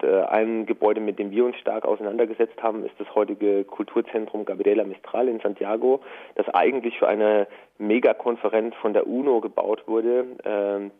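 A man being interviewed, explaining at length in an informal, halting way with many hesitation sounds. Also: lowish voice. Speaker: interview guest from a Latin America news collective. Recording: tinny, narrow phone-like line.

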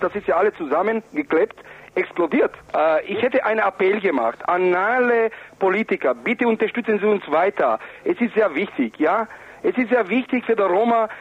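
A man's voice: high voice, husky. A man from the former Yugoslavia speaking non-native German as an activist in an insistent, pleading political interview. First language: not German. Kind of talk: impassioned political radio interview. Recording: dull, narrow phone-like radio sound.

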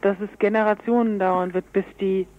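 A woman's voice: medium pitch, fairly even intonation, moderate pace. A woman speaking as an aid organisation representative, explaining her work in a radio interview. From Berlin, Germany. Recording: dull band-limited radio interview recording.

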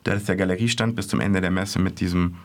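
A man's voice: low voice, fairly even intonation, fairly quick. A man reporting as an art correspondent in casual radio chat, speaking hesitantly with many fillers and restarts, in a radio studio.

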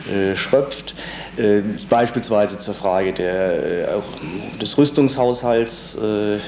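A man being interviewed on the radio as a political organizer, speaking spontaneously and haltingly, often searching for words. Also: lowish voice, a little mellow. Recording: roomy, echoey, faint background noise.

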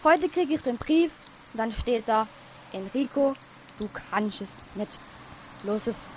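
A woman's voice: on the high side, lively intonation, unhurried, slight background noise. A woman playing a brash, wounded male would-be gangster rapper with a Swabian accent and pushy, slangy talk in a radio play. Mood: confiding, dejected. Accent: Swabian German.

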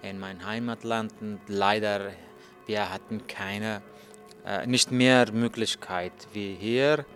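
Man, 30s, slow halting speech, fillers and restarts, lowish voice, faint music behind. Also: steady tone, a bit bright. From Afghanistan. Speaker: journalist telling his story. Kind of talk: hesitant interview answers in learner German.

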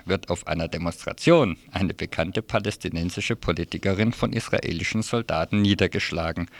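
A man presenting a scripted radio press review in a wry, colloquial manner. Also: low-pitched.

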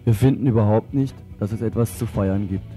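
A man's voice: on the low side, brisk, mellow. A man reads a scripted radio announcement for an activist group's event. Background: guitar music.

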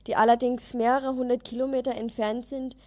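Female radio reporter, slightly high pitch, scripted news read. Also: level tone, brisk, a little mellow.

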